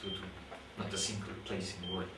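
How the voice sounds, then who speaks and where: A young man from Guatemala talks through his astronomy research in halting second-language English, full of pauses and restarts. His voice sits on the low side. He is recorded from a distance in a roomy space, with faint noise behind him. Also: level tone. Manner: halting, many fillers and restarts, thoughtful. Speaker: PhD student in astronomy. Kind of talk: interview, explaining his research.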